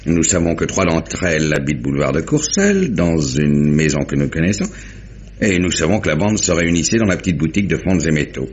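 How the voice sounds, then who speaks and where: An actor playing a brisk, gruff, methodical police inspector in a 1950s French radio mystery, talking things through deliberately. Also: male voice, a bass voice, expressive. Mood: brisk, businesslike. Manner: talkative, deliberate summing-up with muttered interjections. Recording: dull, noisy vintage radio recording.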